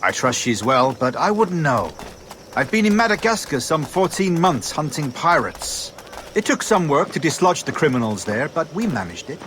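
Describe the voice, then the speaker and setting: A busy outdoor backdrop sits behind a British sea captain. He is refined and ruthless, with a clipped, haughty game-cutscene delivery that is slightly high and rises and falls freely. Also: big pitch swings, moderate pace, fairly bright tone, slight background noise. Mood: coolly proud. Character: refined, ruthless English naval captain, pirate hunter. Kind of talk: video game cutscene acting.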